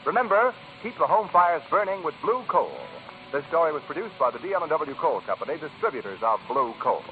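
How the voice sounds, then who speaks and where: Old-time radio announcer guy, kinda high lively voice, punchy, tinny sound with faint music. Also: fairly quick. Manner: emphatic, polished promotional delivery. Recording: tinny 1940s radio recording.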